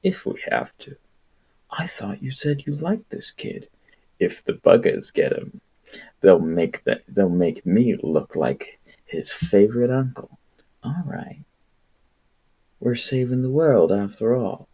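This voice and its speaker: A man's voice: mid-range voice, sing-song, slow pace, mellow, slightly rough, exaggerated voice, low-quality recording. A man voicing stern, cynical adult military recruiters in a novel's dialogue, with a cold manner. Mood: cynical, determined.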